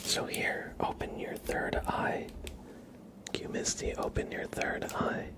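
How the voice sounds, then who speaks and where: A man whispering slowly and playfully in an ASMR roleplay style, telling absurd stories with a straight face. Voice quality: breathy whisper with creaky edges. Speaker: ASMR livestream host.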